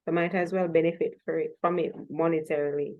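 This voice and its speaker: A woman's voice: low voice, flat delivery, fairly quick, phone-quality sound. A woman speaking conversationally as an interviewer, adding her own comments to the discussion.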